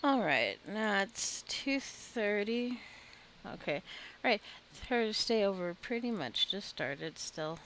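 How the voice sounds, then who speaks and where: Slow-talking woman chatting through a game. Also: medium pitch, slight background noise. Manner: relaxed, chatty, talks to the game characters, laughs often. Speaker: gaming video creator. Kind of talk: casual gameplay commentary.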